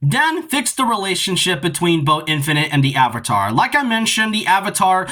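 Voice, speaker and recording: A man's voice: high-pitched, big pitch swings, fairly quick, pushed and bright. A man giving casual, loosely worded opinion commentary as the host of a video essay, in an earnest, informal way.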